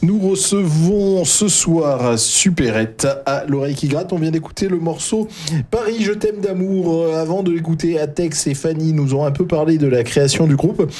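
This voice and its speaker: Male radio host, fairly high voice, chatty, laughs easily. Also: normal speed, a little mellow, soft background music. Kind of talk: radio interview.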